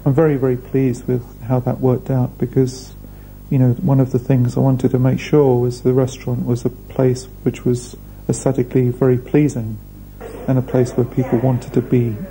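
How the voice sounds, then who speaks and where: A man, speaking thoughtfully in a documentary interview with measured pauses. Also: mid-range voice, completely flat delivery, dark tone, low-quality recording. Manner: measured, thoughtful, hesitant fillers. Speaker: restaurant founder. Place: indoor room.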